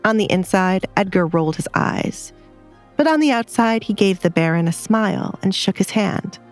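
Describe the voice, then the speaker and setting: A woman's voice: medium pitch, lively intonation, moderate pace, faint music behind. A woman reading scripted dramatic fiction as the narrator of an audio story.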